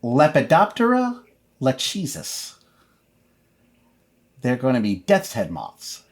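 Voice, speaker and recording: A man's voice: medium pitch, wildly swooping pitch, slow, heavily acted. Man acting small boy, bug nerd, show-off, precise phrasing, tabletop roleplay. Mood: smug. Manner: precise, matter-of-fact show-off. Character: nerdy, know-it-all little brother, bug enthusiast boy.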